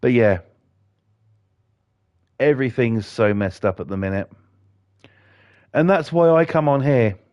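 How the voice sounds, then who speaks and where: A middle-aged British man chatting and ranting with lots of swearing while he plays a game and talks to viewers, his voice a bit croaky. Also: mid-range voice, a little slow, pauses often, darkish tone. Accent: British English. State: slightly croaky. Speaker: gaming livestreamer. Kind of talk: casual chat while playing a game.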